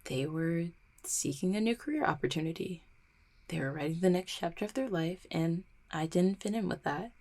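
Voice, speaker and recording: Girl playing a heartbroken mail carrier lady, guarded, raspy n creaky, stumbles over her words, audio rp. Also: on the low side, darkish tone. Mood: defensive, guarded. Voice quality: husky, creaky. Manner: halting, stammering, self-deprecating. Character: dependable small-town mail carrier woman, guarded, heartbroken after breakup. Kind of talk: roleplay audio drama, intimate one-sided conversation.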